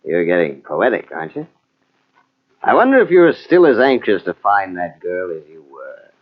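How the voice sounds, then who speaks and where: Wry police captain, expressive pitch. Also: male voice, normal speed. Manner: dry, doubting, matter-of-fact. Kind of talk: old-time radio mystery acting. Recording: dull, muffled old radio tape.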